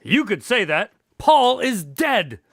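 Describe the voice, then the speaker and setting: An actor playing a genial, excitable detective's sidekick in a radio drama, earnest and easily flustered. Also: male voice, high-pitched, takes it slowly, creaky, full character voice. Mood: alarmed.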